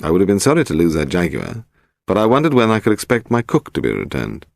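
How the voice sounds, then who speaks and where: A man voicing an urbane, unflappable English gentleman detective in a crime story, teasing and assured. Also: deep voice, huge pitch swings, fast talker, gravelly, no room sound, clean studio sound, full character voice. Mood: cool, polite.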